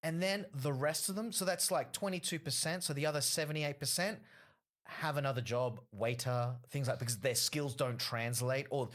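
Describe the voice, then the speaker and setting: A man's voice: medium pitch, normal speed, fairly bright tone. A man, a comedian guest telling long stories in a casual podcast interview, with many fillers. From Australia. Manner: rambling storyteller, frequent fillers.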